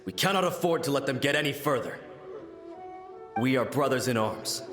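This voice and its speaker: A man's voice: medium pitch, lively intonation, normal speed, roomy sound, music in the background, natural voice. A man playing a loyal, brave samurai soldier in a video game, speaking formally and earnestly.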